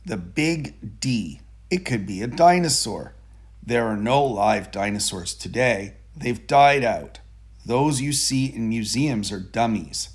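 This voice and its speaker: Male children's book reader, expressive and animated, unhurried pace, slightly husky tone. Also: mid-range voice. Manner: deliberate, expressive storytelling for young children. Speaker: storybook reader. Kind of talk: children's picture book read-aloud.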